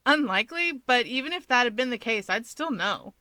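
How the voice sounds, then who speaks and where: A woman's voice: a little high, animated, a little fast, bright, forceful tone, no echo, voice pushed up. A woman voicing a cocky, sardonic young male hero with a flippant, wry manner in a story reading. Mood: smug, casual.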